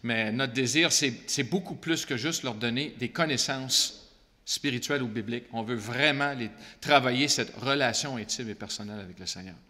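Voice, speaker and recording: A man's voice: medium pitch, normal speed, pushed and bright, long reverb tail. A man with a Québécois French accent, speaking earnestly and conversationally as a Bible school leader answering questions at a church gathering. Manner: earnest, conversational, with frequent fillers.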